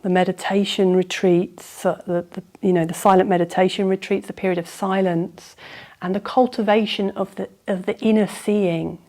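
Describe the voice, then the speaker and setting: A woman teacher leading a guided yoga nidra meditation, improvising gently in a soothing, unhurried way with reflective pauses. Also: medium pitch, steady tone.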